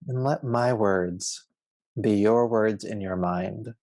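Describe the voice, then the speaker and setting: Casting: male meditation teacher, lowish voice with creaky fry, soothing and measured, guiding relaxation. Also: a little mellow, no echo. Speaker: yoga nidra meditation teacher.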